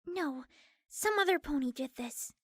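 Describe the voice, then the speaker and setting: A woman voicing a gentle, timid young cartoon pegasus pony in a hesitant, earnest way. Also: high voice, lively intonation, dry recording, clean studio sound. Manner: hesitant, halting, softly earnest.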